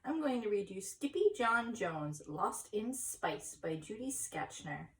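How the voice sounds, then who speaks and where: A woman reading a children's story aloud expressively, pausing for explanatory asides and questions to young listeners. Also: medium pitch, very animated, recorded from a distance, low-quality recording. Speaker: story reader.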